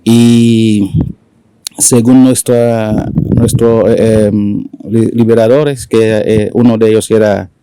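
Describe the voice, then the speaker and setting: Interview guest, 40s man from Haiti, Dominican accent, dark smooth voice, dry close sound. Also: on the low side, level tone, dry recording, low-quality recording. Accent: Dominican Spanish. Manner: halting, many fillers and restarts. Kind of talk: casual conversational interview.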